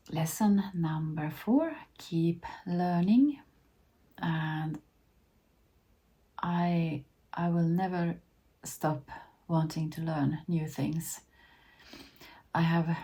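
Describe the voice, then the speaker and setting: Soft-spoken Swedish woman in her fifties. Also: sits low, gravelly, husky. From Sweden. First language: Finnish. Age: 50s. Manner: hesitant, with many restarts and soft ums. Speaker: vlogger. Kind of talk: casual talk to camera.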